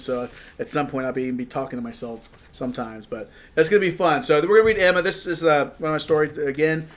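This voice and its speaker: A man, an author and podcast host, chatting casually and laughing often as he introduces a live reading of his story. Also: medium pitch.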